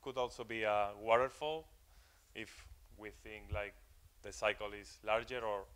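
A man's voice: on the low side, steady tone, measured pace, fairly bright tone, clean tone. A young man giving a technical talk to an audience in a conference room, hesitant with many fillers and repeated words. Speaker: conference speaker.

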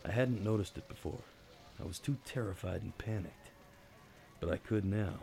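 An actor playing a world-weary, hard-drinking detective in a noir radio play, with wry, understated delivery. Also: male voice, lowish voice, normal speed, creaky, mellow. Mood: wry, brooding. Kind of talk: noir radio drama narration.

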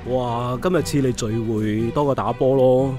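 A man's voice: mid-range voice, fairly even intonation, unhurried, darkish tone, faint music behind, close to an everyday voice. An actor playing an easygoing, free-thinking man near forty in a radio drama. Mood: teasing, upbeat.

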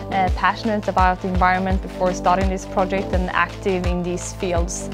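A woman's voice: on the low side, gravelly. A woman, earnestly explaining her company's work in a documentary interview, with frequent "uh" fillers, recorded on a lapel mic in a lab. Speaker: company founder and CEO. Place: indoor lab. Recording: music bed under the voice. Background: background music.

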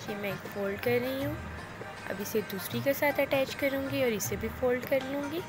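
High-voiced woman teaching a recipe. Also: normal speed. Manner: calm, instructive, addresses viewers directly. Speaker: cooking channel host. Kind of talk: step-by-step cooking tutorial voice-over. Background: loud background music.

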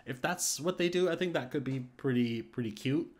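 A man's voice: mid-range voice, fairly quick. A man hosting a gaming video, giving casual update commentary and thinking aloud as he speculates.

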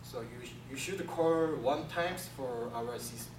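A young man from South Korea with a Korean accent, giving a class presentation in halting second-language English with many restarts. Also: mid-range voice. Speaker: student presenter. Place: classroom with projector screen. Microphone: far camera. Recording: echoey room from far off, room hiss.

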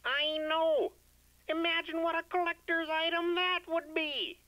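Earnest oddball radio caller played by a woman, squeaky and unhurried, eager, for a puppet comedy. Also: steady tone, sharp tone, full character voice. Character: earnest, eccentric sports-collector radio caller. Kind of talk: comic puppet show radio skit.

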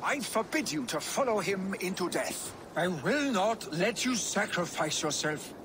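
Passionate high-voiced young Viking. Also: male voice, lively intonation, measured pace, noisy background. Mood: urgent, indignant. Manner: forceful, pleading. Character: passionate, headstrong young Viking, a jarl's son. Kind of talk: video game dramatic acting.

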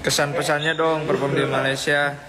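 A man's voice: a little high, level tone, normal speed. A man chatting and joking casually while filming friends at a hotel gym and rooftop pool. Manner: playful teasing, laughs a lot. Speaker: vlogger filming the crew. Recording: loud background noise.

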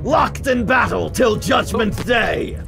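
A man's voice: extremely high pitch, expressive, slow, gravelly, exaggerated voice. A man playing a theatrical, sarcastic undead pirate captain in an improvised comedy fan dub. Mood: grandiose. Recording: music playing behind the voice.

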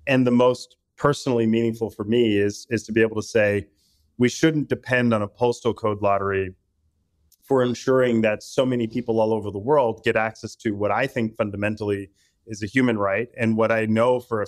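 Podcast guest from Puerto Rico, mid-pitched man, articulate with frequent restarts, dry close sound. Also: no echo. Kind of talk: casual interview talk.

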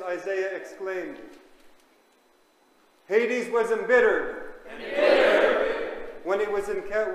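A man, a priest, reading a homily aloud with slow, measured declamation that rises to fervent proclamation. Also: sits high, background noise. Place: large church. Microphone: far camera. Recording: echoey church from far off.

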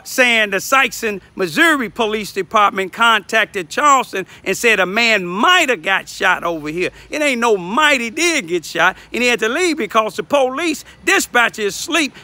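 A man's voice: high-pitched, sharp tone, dry recording. An older man giving informal, emphatic commentary outdoors into a phone, repeating himself for emphasis. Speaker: local citizen reporter. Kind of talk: informal selfie vlog commentary. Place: outdoors in a backyard. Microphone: phone at arm's length.

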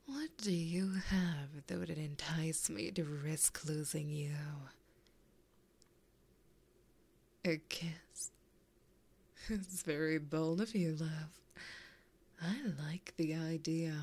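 A woman voicing a flirty, possessive, taunting female demon in an ASMR roleplay, breathy and often whispering in a teasing, intimate way, close to a binaural mic. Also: fairly low pitch, a little mellow, heavily acted. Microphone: close binaural microphone.

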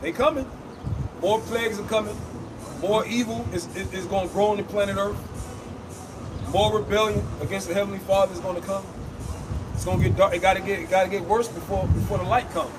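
A man's voice: high voice, steady tone, fairly quick, far from the mic, background noise. Blunt, profane street preacher man. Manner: blunt, profane, mocking asides. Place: outdoors on a city sidewalk.

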